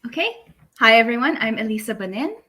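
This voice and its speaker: A woman's voice: a little high, big pitch swings, brisk, pushed and bright. A woman from the Philippines, a debut author introducing herself casually on a panel.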